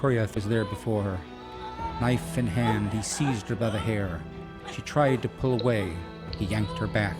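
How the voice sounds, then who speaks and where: A man's voice: on the low side, a little slow. A man narrating a scripted fantasy radio play in a dramatic storytelling style. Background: music.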